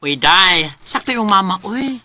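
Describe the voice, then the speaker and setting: A bright, teasing Cebuano girlfriend. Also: mid-range voice, lively intonation, a little slow, dry recording. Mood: teasing, urging. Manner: exclamatory, teasing. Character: pushy, teasing young female friend. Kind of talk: radio drama acting.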